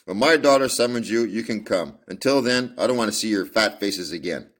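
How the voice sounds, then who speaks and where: A man's voice: low voice, brisk, lightly acted. A man reading aloud and voicing a fiery old farmer, an angry, protective father. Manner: angry, forceful.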